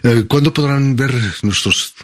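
A man's voice: medium pitch, measured pace. A man interviewing a guest on the radio, asking questions with an occasional stammered restart.

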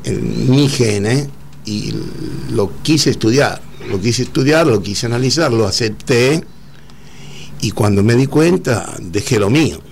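A middle-aged man with an Argentine Spanish accent, speaking earnestly as an interview guest, often pausing and repeating words. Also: medium pitch, animated, vocal fry, a little mellow, slight background noise. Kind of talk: emotional conversational interview.

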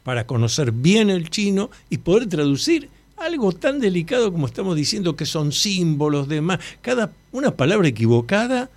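A man's voice: high-pitched, very animated, moderate pace, hardly any pauses, no room sound. A middle-aged man with an Argentine Spanish accent hosts an interview, commenting conversationally in an explanatory, emphatic way. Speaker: interview host.

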